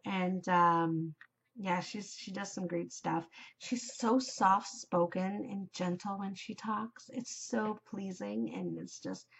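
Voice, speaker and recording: Canadian lady chatting about knitting, loud and bubbly, bit muffled recording. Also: medium pitch, steady tone, takes it slowly, vocal fry, husky. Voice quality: loud, enthusiastic. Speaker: knitting podcast host. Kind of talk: casual chat. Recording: slightly dull home recording.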